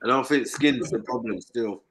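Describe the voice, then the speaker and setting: A man's voice: mid-range voice, moderate pace, fairly bright tone, slight background noise, low-quality recording. A man, chatting casually and joking on a livestream. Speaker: livestream host. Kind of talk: casual chat.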